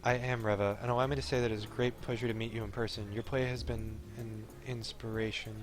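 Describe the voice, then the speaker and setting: A man's voice: mid-range voice, flat delivery, fast, faint music behind, close to an everyday voice. Man as reserved, polite young gamer woman. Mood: awed. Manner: polite, stiffly formal. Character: reserved, formal young female gamer fan.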